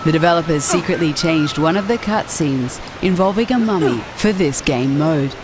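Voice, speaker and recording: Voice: medium pitch, expressive, normal speed, background noise. A narrator reading a scripted video game countdown as a voice-over.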